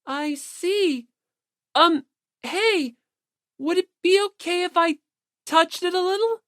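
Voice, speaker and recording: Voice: very high-pitched, slow pace, fairly bright tone, dry recording, studio quality, voice pushed up, heavily acted. A performer voicing a shy, timid, easily hurt teenage boy in an audiobook, hesitant and apologetic. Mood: timid, crestfallen. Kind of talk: audiobook character acting.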